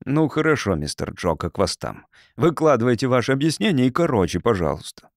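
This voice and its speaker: A man's voice: mid-range voice, very animated, brisk, dry recording, studio quality. Man acting a tipsy, sceptical university man, swooping intonation. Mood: impatient, curt. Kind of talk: audiobook character acting.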